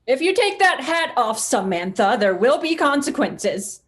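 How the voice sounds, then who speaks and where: Stern, high-pitched mother, woman acting. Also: very animated, measured pace. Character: stern forty-five-year-old mother. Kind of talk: screenplay table read.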